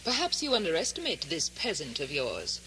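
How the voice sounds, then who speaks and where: A woman's voice: low voice, huge pitch swings, normal speed, bright, forceful tone, heavily acted, rough recording. An actress playing an imperious, bloodthirsty noblewoman in a radio drama, speaking with icy, commanding, precise theatrical diction. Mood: cold threatening. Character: imperious, bloodthirsty lady plotting to be queen. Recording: old radio broadcast, faint hum.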